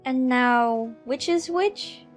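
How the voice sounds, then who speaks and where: A polite, earnest little girl from a storybook drama, voiced by a woman. Her voice sits high and sounds timid and hesitant. Faint music plays behind her. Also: extremely slow. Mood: puzzled. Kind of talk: dramatized audiobook character acting.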